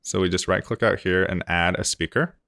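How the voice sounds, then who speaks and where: A man presenting a casual software tutorial in an informal, easygoing way, explaining things step by step. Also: sits low, steady tone, brisk, vocal fry, dry recording, studio quality.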